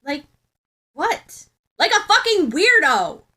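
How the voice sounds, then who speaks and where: A woman voicing a gruff, hot-tempered, scowling teenage boy in a story, barking and snapping curtly. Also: extremely high pitch, sing-song, slow, sharp tone, voice pushed up, exaggerated voice. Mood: irritated, barking. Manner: barking, snapping, curt and sweary.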